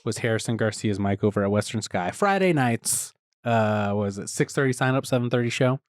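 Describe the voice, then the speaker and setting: A man hosting a podcast interview, talking in a relaxed, laid-back way and laughing often. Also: fairly low pitch, a little fast, dry recording, clean studio sound. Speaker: podcast host. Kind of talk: casual interview chat.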